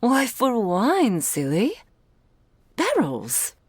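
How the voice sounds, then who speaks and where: A woman's voice: on the high side, wildly swooping pitch, extremely slow, breathy edge, no room sound, full character voice. A woman voicing a doting, regal female dragon in human form, speaking intimately to the listener in ornate, archaic phrases as an ASMR roleplay. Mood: doting, delighted.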